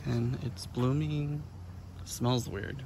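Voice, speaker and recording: A man's voice: medium pitch, steady tone, slow pace, gravelly. A man in his thirties talking casually as a vlogger while filming himself outdoors. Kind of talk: casual vlog narration. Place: outdoors at a farm nursery. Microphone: handheld phone at arm's length. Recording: faint outdoor background noise.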